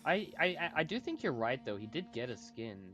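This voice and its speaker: A man in casual gaming chat, slangy and excitable in the way he talks. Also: on the high side, a little fast. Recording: game music behind the voice.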